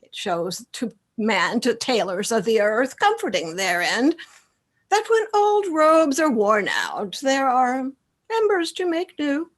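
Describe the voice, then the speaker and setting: A woman acting a measured attendant in a Shakespearean radio play, speaking deliberately and expressively. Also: on the high side, big pitch swings, exaggerated voice. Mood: wry, reasoning. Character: measured soothsayers, messengers and loyal male soldier.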